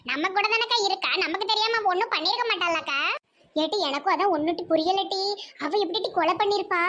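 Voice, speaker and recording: A woman's voice: very high voice, pushed and bright. A woman with a Tirunelveli Tamil accent voicing a fussing, teasing village neighbour in a comedy cartoon.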